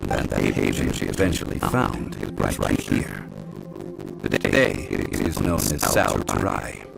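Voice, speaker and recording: An actor playing a composed, scholarly man in a video game, recounting ancient legends in a measured, formal and deliberate way. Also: deep voice, sing-song, creaky, loud music behind the voice, exaggerated voice, poor audio. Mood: grave, explanatory. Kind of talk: video game dialogue, lore narration.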